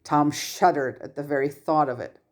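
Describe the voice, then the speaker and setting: A woman with a low voice narrating a scripted story as an amateur audiobook reader. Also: normal speed.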